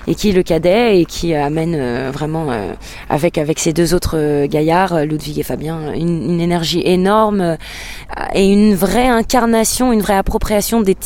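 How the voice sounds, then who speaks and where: A woman's voice: lowish voice, dark tone. A woman whose first language is English, a singer-songwriter answering interview questions in a hesitant way, with many filler sounds and restarts.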